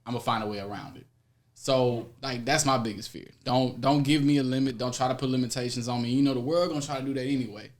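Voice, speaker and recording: A young American man chatting casually as a guest, slangy, emphatic and expressive in how he talks. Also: medium pitch, quick pace. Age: young adult. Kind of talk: casual conversation.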